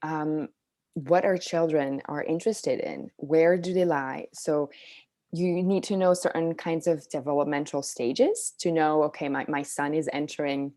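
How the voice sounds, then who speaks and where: Very low, mellow woman explaining casually. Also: steady tone, unhurried. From Switzerland. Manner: informal, hedging with "like" and "you know". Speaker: interview guest, Montessori parenting coach. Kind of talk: casual explanatory interview talk.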